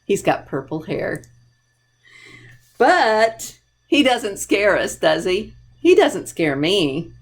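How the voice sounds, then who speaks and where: A woman's voice: mid-range voice, big pitch swings, slow pace. A woman hosting a storytime for young children, talking playfully and directly to them as a library presenter. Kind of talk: children's storytime reading and chat.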